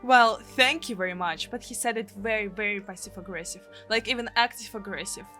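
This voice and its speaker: A woman's voice: medium pitch, a little fast, pushed and bright, soft background music. A woman from Russia with a Russian accent, a gaming streamer expressively reading a translated visual novel aloud. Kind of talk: let's play, reading a live translation aloud.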